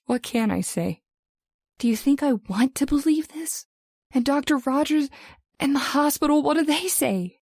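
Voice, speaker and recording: A woman performs a troubled young sweetheart in an old-time radio play. She is earnest and torn by doubt, her fairly high voice recorded dry and close. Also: moderate pace, a little mellow, no echo. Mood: anguished, pleading.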